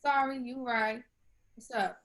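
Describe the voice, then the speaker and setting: A woman's voice: fairly high pitch, steady tone, a little slow, a bit bright. A woman playing an awkward, playful young woman with a wry, slangy way of talking, in a screenplay table read. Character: Issa, awkward, playful, broke young woman with sarcastic streak.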